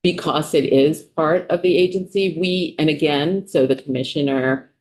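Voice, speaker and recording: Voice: mid-range voice, normal speed, darkish tone. An official with a New York City accent testifying before a legislative committee, speaking conversationally with frequent ums and restarts. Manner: conversational, many ums, restarts mid-sentence. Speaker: state official testifying as a witness.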